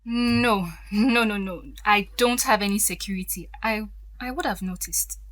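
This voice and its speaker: A woman's voice: mid-range voice, measured pace, a bit bright, natural voice. An actress playing a polite, anxious young woman in an audio drama, earnest and tentative in the way she talks. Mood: startled.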